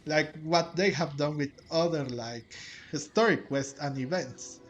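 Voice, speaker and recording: A man giving casual game commentary as he plays, rambling and halting as he talks. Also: fairly high pitch, muffled recording, soft background music. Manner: rambling, halting, frequent chuckles. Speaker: gaming streamer playing a story quest.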